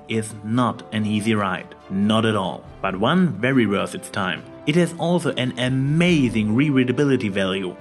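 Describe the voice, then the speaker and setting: A man's voice: mid-range voice, a little mellow. A man hosting a scripted review, giving commentary as the show's presenter. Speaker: host of a review channel.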